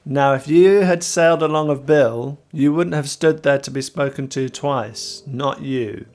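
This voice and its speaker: A man's voice: medium pitch, slow pace, faint music behind. Man playing a sly, menacing old sailor. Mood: fawning, stern. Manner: wheedling, drops H's, rough sailor speech.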